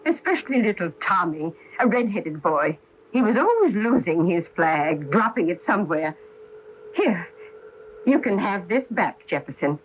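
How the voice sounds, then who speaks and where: An actress playing an elderly former schoolteacher in a radio mystery drama, brisk, nostalgic and sure of her memory. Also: mid-range voice. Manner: brisk, expressive, reminiscing. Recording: dull lo-fi radio broadcast recording.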